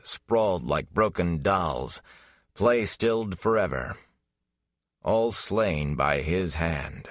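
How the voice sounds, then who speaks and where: A man narrating a fantasy audiobook in a solemn, measured, dramatic style. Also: sits low, fairly bright tone, dry recording, studio quality.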